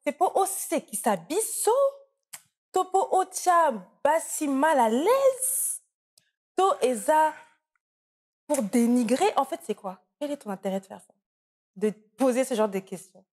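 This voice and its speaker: A woman's voice: high-pitched, very animated, a little slow, pauses often, darkish tone. A woman hosting an interview, asking her questions in a playful, teasing way. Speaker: interviewer, host.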